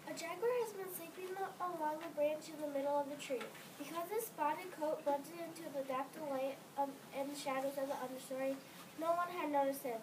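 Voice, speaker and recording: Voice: sits high, fairly even intonation, a little fast. A child of about nine or ten reading storybook narration in a readers theater. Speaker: student narrator. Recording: noisy room, distant microphone.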